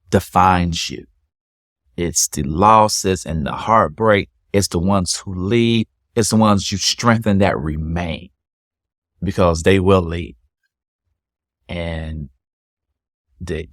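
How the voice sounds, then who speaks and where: A man's voice: low voice, no room sound, clean studio sound. A man speaking in an interview, earnest and motivational, telling stories and reflecting on purpose.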